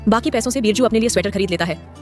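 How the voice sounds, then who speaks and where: Brisk storybook narrator. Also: mid-range voice, darkish tone, faint music behind.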